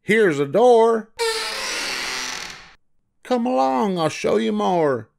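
A man voicing an excitable, eager storybook mouse who exclaims like a playful showman. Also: high-pitched, very slow, creaky, a little hiss or hum, voice pushed up, heavily acted. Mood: eager urging. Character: excitable, eager male cartoon mouse showing off.

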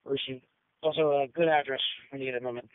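A man's voice: mid-range voice, fairly even intonation, fast talker, dry recording. A man working as a county fire dispatcher, reading out units and checks in procedural radio phrasing. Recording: phone-like dispatch radio audio.

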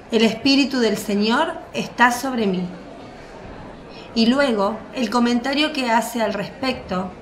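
A woman narrating a scripted radio drama in a measured, formal storytelling manner, with an echoing sound. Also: on the high side, a little hiss or hum. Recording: roomy, echoing voice track. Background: faint music.

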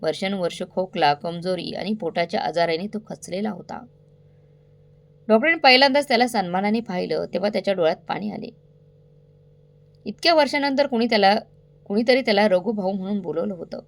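Creaky-voiced woman storyteller. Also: medium pitch, a little fast. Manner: addresses listeners directly, suspenseful telling. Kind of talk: dramatic scripted story narration.

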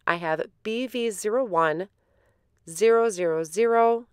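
A woman's voice: mid-range voice, unhurried, smooth, dry recording, studio quality. Stuffy-nosed woman explaining a craft. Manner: relaxed, step-by-step explaining with fillers. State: stuffy from a head cold. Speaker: card-making tutorial host. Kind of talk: voice-over craft tutorial.

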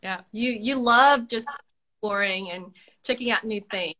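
A woman hosting a casual livestream interview over a video call, asking questions with many pauses and fillers. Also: medium pitch, bright, forceful tone, low-quality recording. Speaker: host. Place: home room, indoors.

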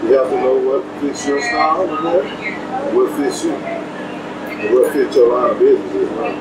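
A man with a Texan accent, a barber giving short, relaxed, polite answers while cutting hair, recorded by a far camera mic in a small trailer. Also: mid-range voice, moderate pace. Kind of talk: casual interview answers. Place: small trailer interior, windy outdoor event. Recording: distant roomy sound, loud fan and wind noise.

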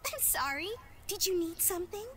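A woman's voice: very high-pitched, moderate pace, pushed and bright, slight background noise. A woman voicing a tiny, playful, teasing fairy lady in a fantasy game, coy and sing-song.

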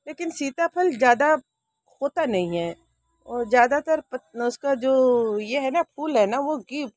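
A woman's voice: a little high, a little mellow, dry recording, clean studio sound. A woman in her sixties gives a homely, chatty tour of her rooftop garden, talking straight to her viewers and filming on a handheld phone outdoors. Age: 60s. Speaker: vlogger. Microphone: handheld phone camera.

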